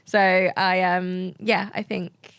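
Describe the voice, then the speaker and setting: A woman's voice: lowish voice, level tone, slow pace, creaky, fairly bright tone, clean tone, no room sound. A British woman chatting casually and candidly as a podcast guest. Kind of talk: casual chat.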